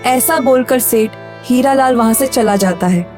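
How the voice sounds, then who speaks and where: Female storyteller, higher-pitched voice, scripted cartoon narration over light background music. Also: unhurried, a little mellow.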